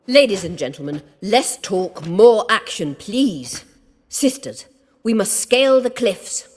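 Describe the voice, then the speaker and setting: An actress playing a brisk, wry sorceress in a fantasy game, crisp and authoritative. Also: female voice, mid-range voice, very animated, big-room sound. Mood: wry, urging.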